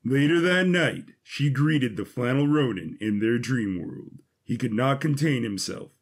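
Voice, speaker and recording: A man with a husky voice giving a scripted, dramatic narration of an adventure story. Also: mid-range voice, lively intonation, measured pace.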